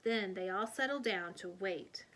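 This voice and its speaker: A woman reading a children's story aloud in an expressive storyteller's manner. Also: medium pitch, a little slow, very noisy. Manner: expressive, animated delivery of dialogue. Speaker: storybook reader. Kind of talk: scripted read-aloud storytelling.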